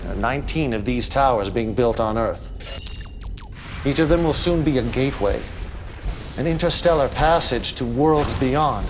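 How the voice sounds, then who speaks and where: Man playing a calm, menacing cult leader, noisy audio. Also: mid-range voice, close to an everyday voice. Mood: calm, grandiose. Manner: measured, imperious, theatrical. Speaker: video game villain. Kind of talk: dramatic acting in game cutscenes.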